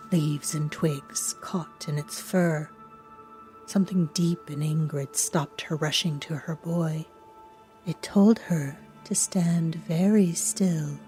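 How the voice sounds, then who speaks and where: A woman narrating a horror audio drama in a solemn, hushed, deliberate and dramatic storytelling manner. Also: fairly low pitch.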